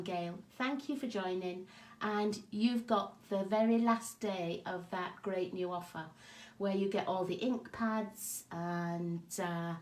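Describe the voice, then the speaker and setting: A woman with a croaky, hoarse voice from a cough, chatting casually with viewers by name as she hosts a craft live stream from her home craft room. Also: fairly high pitch, darkish tone. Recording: echoey room.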